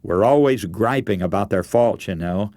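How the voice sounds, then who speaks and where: A man's voice: fairly low pitch, moderate pace, a little mellow, no echo. A man preaching a radio sermon, giving practical advice in an earnest, instructive way. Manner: earnest, instructive, addresses listeners as friends.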